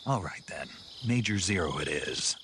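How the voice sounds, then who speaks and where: A man playing a gruff, terse soldier on a covert mission in a video game, speaking drily over the radio. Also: sits low, lively intonation, moderate pace, a bit bright. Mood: dry. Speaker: field agent. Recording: game audio with clear noise.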